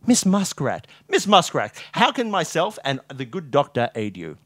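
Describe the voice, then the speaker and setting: A man voicing a brisk, exasperated mouse detective in a comic story, matter-of-fact and commanding. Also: a little high, very animated, fairly bright tone, no echo, studio quality. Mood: exasperated, courteous.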